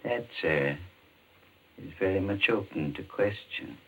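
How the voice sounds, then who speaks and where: Gravelly, courteous mysterious shopkeeper. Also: male voice, deep voice, animated, exaggerated voice. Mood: sly, deliberate. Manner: formal, deliberate, unctuously polite. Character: mysterious courteous pawnshop keeper, devoted chess player. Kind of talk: 1940s radio mystery acting. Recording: tinny, band-limited 1940s radio recording.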